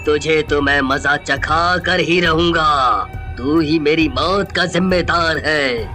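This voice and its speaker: Casting: bitter ghost man acting for cartoon horror tale, slow threats, prominent music underneath. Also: on the high side. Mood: vengeful. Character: vengeful, bitter ghost of wronged male sweet maker. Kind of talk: animated horror-story voice acting.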